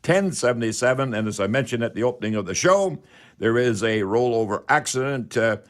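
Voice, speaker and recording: A man's voice: mid-range voice, lively intonation, a little fast. A man hosting a live call-in radio show in a folksy, repetitive patter.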